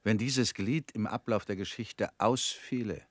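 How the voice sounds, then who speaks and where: An actor in a radio drama playing a comrade who asks quiet, probing questions. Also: male voice, lowish voice, measured pace, dry recording.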